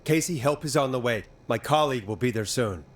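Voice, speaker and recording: A man voicing a calm, reassuring radio host in a video game, steady and earnest. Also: medium pitch, a little fast, slight background noise, close to an everyday voice. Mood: reassuring, concerned.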